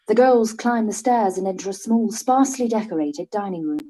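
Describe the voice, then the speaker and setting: A woman reading the stage directions for a live-read radio play. Her voice is mellow, mid-pitched and calm. The reading stays even throughout. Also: normal speed, poor audio. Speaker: narrator.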